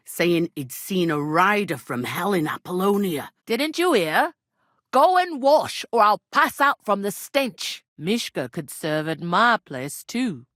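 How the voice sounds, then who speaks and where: An actress playing a brusque, gossipy medieval innkeeper in a video game, speaking in earthy, colloquial rustic phrases with folksy idioms. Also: female voice, mid-range voice, a bit bright, no echo, studio quality. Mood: angry, exasperated, then matter-of-fact, brisk. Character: brusque, gossipy medieval village innkeeper woman, plain-spoken, superstitious. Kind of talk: video game character dialogue.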